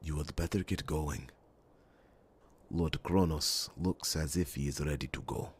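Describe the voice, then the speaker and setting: A man playing a grave, resolute warrior in an ASMR fantasy roleplay, speaking solemnly and deliberately, with dramatic pauses and a gravelly, breathy rumble. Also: steady tone, exaggerated voice. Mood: gently encouraging.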